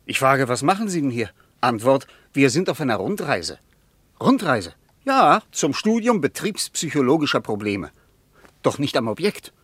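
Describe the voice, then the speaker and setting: An actor playing a frustrated, sardonic West German traveller who argues in clipped phrases in a radio drama. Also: male voice, medium pitch, big pitch swings, moderate pace, fairly bright tone, husky, no echo. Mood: indignant, sardonic.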